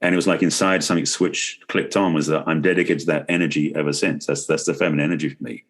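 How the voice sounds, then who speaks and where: A man's voice: very deep voice, steady tone, fast talker, breathy edge. A man speaking as a podcast guest in a conversational interview, explaining things earnestly. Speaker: podcast guest, relationship expert and author.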